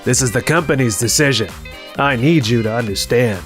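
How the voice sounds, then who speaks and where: A man's voice: medium pitch, fairly quick, a bit bright, soft background music, natural voice. A man playing a dismissive, blustering company boss in a manga dub, curt and condescending. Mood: smug, curt. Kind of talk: scripted manga dub acting.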